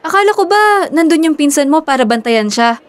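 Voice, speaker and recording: A woman's voice: high-pitched, animated, fairly quick. A woman playing a practical, teasing young best friend in a radio drama, with a casual, questioning way of talking. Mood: calm. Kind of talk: Filipino radio drama acting.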